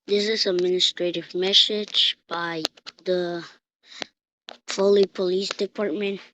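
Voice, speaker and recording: A dull, lo-fi recording of a woman giving an improvised emergency alert. She speaks very slowly in a slightly low voice. Her delivery is halting, with phrases repeated and begun again. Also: fairly even intonation, fairly bright tone, a little hiss or hum. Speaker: emergency alert announcer in a hobby mock broadcast.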